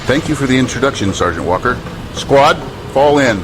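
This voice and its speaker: An actor playing a harsh, domineering military drill sergeant in an audio drama, commanding and sarcastic. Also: male voice, medium pitch, animated, moderate pace, background noise, close to an everyday voice. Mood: stern, commanding. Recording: music behind the voice.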